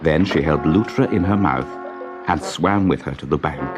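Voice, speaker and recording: A man narrating a children's story in a measured, dramatic, expressive manner. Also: very deep voice, music in the background.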